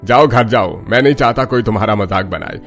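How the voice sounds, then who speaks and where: A man's voice: fairly low pitch, a little fast, a bit bright, close to an everyday voice. A man playing a scheming village headman and tomato farmer in a cartoon story, taunting and condescending. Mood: mocking. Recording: music behind the voice.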